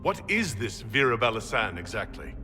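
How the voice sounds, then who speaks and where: A forceful, resolute fantasy hero. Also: male voice, medium pitch, very animated, normal speed. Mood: measured, questioning. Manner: firm, direct, earnest. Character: resolute, diplomatic male military leader, fantasy hero. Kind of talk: dramatic video game acting. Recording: long hall reverb, music underneath.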